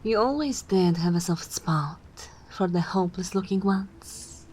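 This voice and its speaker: A regal, centuries-old vampire mistress, acted out by a woman in an ASMR roleplay. She speaks slowly and imperiously, at times dropping near a whisper, over clear background noise. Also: fairly low pitch, darkish tone, close to an everyday voice. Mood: dry, teasing.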